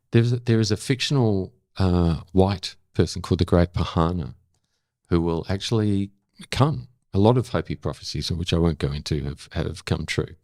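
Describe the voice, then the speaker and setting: A deep, low-pitched older man talks in a reflective interview. He feels his way through sentences, pausing thoughtfully to find the right word. The sound is dry and close. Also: a little mellow, no echo. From Australia. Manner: halting, thoughtful, searching for words. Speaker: interview guest. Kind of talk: reflective long-form conversation.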